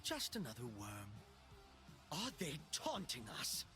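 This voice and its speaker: Cocky villain, wildly animated. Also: male voice, a little high, measured pace, heavily acted. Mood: mocking. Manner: smug, dramatic, teasing. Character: cocky, theatrical young male villain, power-hungry and mocking. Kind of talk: video game character voice lines. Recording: game music behind the voice.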